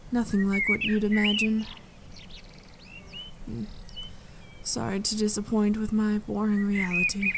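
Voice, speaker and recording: A woman playing a regal, dryly amused and protective fae leader in an intimate ASMR fantasy roleplay, speaking in a measured, composed, gently condescending way. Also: medium pitch, very noisy, close to an everyday voice. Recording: forest ambience with birdsong. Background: birdsong and forest sounds.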